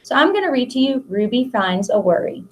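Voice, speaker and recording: A middle-aged American woman, a school principal, reads a picture book aloud to children in simple, expressive phrases. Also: fairly high pitch, fairly quick. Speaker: school principal reading to pupils.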